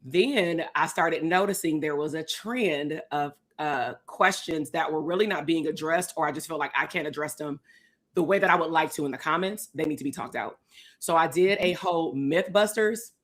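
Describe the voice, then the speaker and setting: A woman, hosting a live stream chat with a hoarse, raspy voice, talking casually to viewers. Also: sits low, moderate pace. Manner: relaxed, talks straight to viewers as y'all. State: hoarse, losing her voice. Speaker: psychologist and host.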